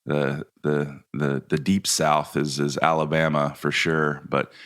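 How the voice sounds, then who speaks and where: A man with a Kentucky accent and a very low, deep voice talks as a podcast guest. He is easygoing and laughs often, filling his talk with ums and restarts. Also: measured pace. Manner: relaxed, laughing, many fillers and restarts. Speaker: guest photographer. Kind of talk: casual podcast interview. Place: video call from home.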